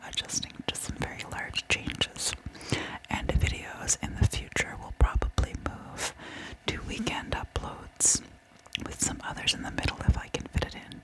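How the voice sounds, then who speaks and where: A woman hosting an ASMR channel, speaking to viewers in a breathy, unvoiced whisper and softly repeating words. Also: low-quality recording. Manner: soft-spoken, repeats words gently. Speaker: host of a true crime ASMR channel.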